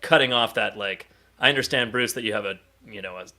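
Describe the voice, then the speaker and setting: A man's voice: on the low side, quick pace, bright, forceful tone. A man chatting casually and enthusiastically as a podcast co-host, acting out bits as he retells stories. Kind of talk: casual enthusiastic pop-culture chat.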